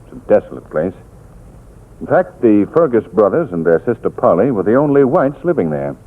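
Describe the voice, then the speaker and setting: An actor playing a suave, thoughtful gentleman telling a tale in a 1940s radio drama. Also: lowish voice, big pitch swings, moderate pace. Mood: measured storytelling. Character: suave, thoughtful gentleman storyteller, calm and worldly. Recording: tinny 1940s radio recording.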